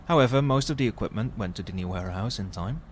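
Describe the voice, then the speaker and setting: Man acting a hesitant, low-voiced male henchman. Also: lively intonation, quick pace, a little hiss or hum, lightly acted. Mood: apologetic. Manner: hesitant, deferential. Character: apologetic male crime underling reporting to his boss. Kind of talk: scripted audio roleplay acting.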